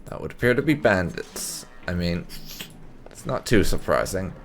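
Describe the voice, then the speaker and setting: A man hosting a casual let's play with light roleplay, explaining things in a wordy, measured way. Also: low voice, sing-song, a little hiss or hum. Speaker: gaming YouTuber, let's play host.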